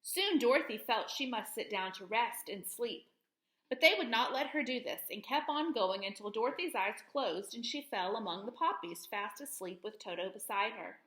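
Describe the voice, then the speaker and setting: A woman reads a children's story aloud with expressive, animated delivery. Her voice is fairly high and bright, and the room sounds echoey. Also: moderate pace.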